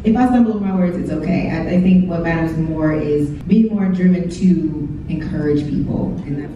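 A woman's voice: lowish voice, noisy background. A young woman from Texas on a panel, talking casually and openly about her work into a handheld mic and quoting her own thoughts. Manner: chatty, candid, quotes her own thoughts. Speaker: YouTuber on a panel. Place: large hall, indoors. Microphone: handheld mic, heard from a far camera. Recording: echoey hall from far off, dull.

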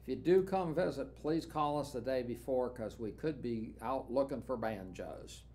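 An older man, a banjo dealer, casually walking viewers through an instrument, unhurried and dotted with "uh" fillers, recorded in a small studio room. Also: mid-range voice, faint music behind. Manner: relaxed, unhurried, with frequent "uh" fillers. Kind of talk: casual product walkthrough.

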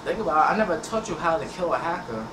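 A man's voice: fairly high pitch, animated, fast talker. A teenage man, rambling casually over gameplay and often restarting his words. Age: teens. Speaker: gameplay channel host. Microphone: headset mic. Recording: echoey, distant, noisy room sound.

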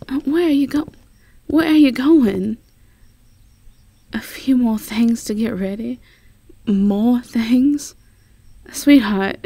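A sweet, easily flustered Southern belle farm girlfriend played by a woman in a roleplay audio drama. Her Southern US voice is soft-edged and mellow, a little high, and unhurried. She talks affectionately, with hesitant stammers, close and dry. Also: gravelly, no room sound, heavily acted. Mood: fond, bemused.

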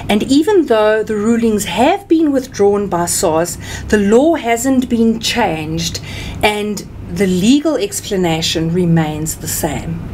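A middle-aged South African woman explaining something step by step in a measured, instructional way, as a seminar speaker. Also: mid-range voice, darkish tone, a little hiss or hum, low-quality recording. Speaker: tax seminar speaker and writer. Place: small indoor office or meeting room.

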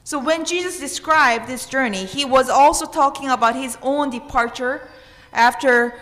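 A woman's voice: high voice, a bit bright. A woman in her thirties with a Korean accent, preaching a sermon at a church pulpit in an earnest manner with emphatic questions. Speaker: pastor. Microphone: pulpit microphone. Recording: roomy church with long reverb.

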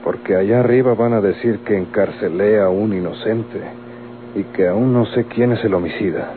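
An actor playing a stern, officious public prosecutor in a Mexican radio soap opera, with a Mexican accent and formal, authoritative phrasing. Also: male voice, on the low side, moderate pace, natural voice. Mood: pensive. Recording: tinny old radio recording, clearly noisy.

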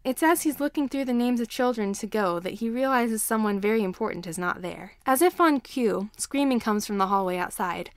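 A woman's voice: fairly high pitch, a little fast, hardly any pauses, dry recording. A woman reading a scripted story aloud as narrator.

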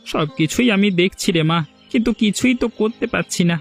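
A man's voice: sits high, fairly quick, gravelly, faint music behind. A man voicing a humble, struggling village eatery owner and devoted father in a cartoon, plaintive and earnest. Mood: sad, resolute. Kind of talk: Bengali village cartoon dialogue.

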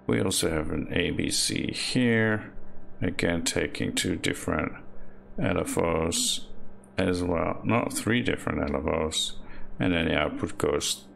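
A man slowly talking through his modular synth patch over a screen recording, halting with fillers as he points things out. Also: sits low, vocal fry, a bit bright, a little hiss or hum. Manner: slow, halting explanation with many fillers. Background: synth music playing underneath.

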